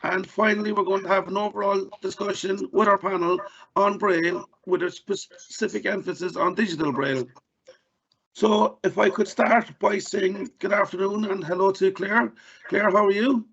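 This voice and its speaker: An Irish man hosting a podcast in a casual, halting way, often restarting his sentences. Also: sits high, fairly even intonation, creaky, a bit bright, slight background noise. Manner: halting, with many restarts and fillers. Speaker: podcast host. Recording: dull, lo-fi audio.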